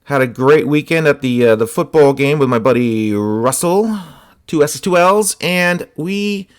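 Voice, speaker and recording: A man with a slightly hoarse, scratchy voice, chatting casually as host while showing autographed sports cards. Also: a little high, a little slow. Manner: relaxed, chatty, many fillers and asides. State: slightly hoarse, voice partly lost. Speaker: host of a card-collecting channel.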